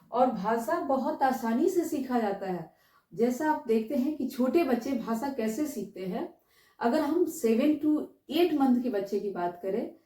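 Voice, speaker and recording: This is an Indian woman teaching a class in a high but soft, mellow voice. She explains things to her students, repeats her key phrases and keeps checking in with rhetorical questions. She sounds some distance from the microphone in an echoey room. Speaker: English teacher giving a class. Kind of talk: spoken lesson, explaining to students. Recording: roomy echo, distant microphone.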